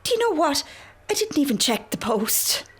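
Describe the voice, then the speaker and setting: A woman's voice: sits high, big pitch swings, moderate pace. A woman playing a fussing, anxious, protective Irish mother in a naturalistic radio drama. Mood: fussing, caring.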